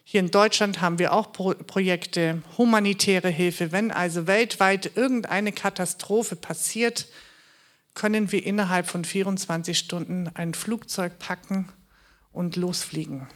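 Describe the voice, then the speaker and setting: A woman's voice: on the low side, fairly even intonation, unhurried. A woman from Bavaria, raised in Württemberg, giving a chatty, joking presentation. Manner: chatty, joking, with fillers and restarts. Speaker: charity regional manager giving a talk. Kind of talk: casual presentation talk.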